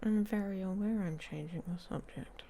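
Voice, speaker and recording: A woman playing a hot-tempered, protective she-wolf in an intimate ASMR roleplay, with a husky, breathy voice and a blunt, sardonic manner. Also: medium pitch, normal speed, background noise, natural voice. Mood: gruff, reassuring. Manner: sardonic, blunt, speaking straight to the listener.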